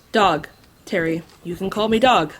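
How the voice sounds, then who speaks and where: A woman's voice: medium pitch, takes it slowly, a bit bright. A woman playing an earnest, caring green Martian who is eager to help, in an audio drama. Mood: worried, insistent. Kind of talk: audio drama character acting.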